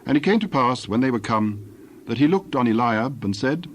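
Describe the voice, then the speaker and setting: A man narrating a dramatized scripture reading in a measured, solemn, scripted manner. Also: medium pitch, expressive, slight background noise.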